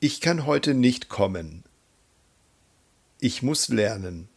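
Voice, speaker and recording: Guy teaching grammar drills, really slow and careful, bit of gravel in his voice. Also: mid-range voice, animated. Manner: deliberate, instructional, repeats sentences. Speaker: German language teacher. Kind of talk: scripted grammar drill, reading example sentences.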